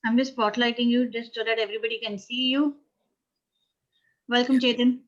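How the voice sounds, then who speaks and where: A woman's voice: on the high side, steady tone, normal speed. A woman acting as technical coordinator on a video call, giving practical instructions and checks. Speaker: online meeting technical coordinator. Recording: slightly dull video-call audio.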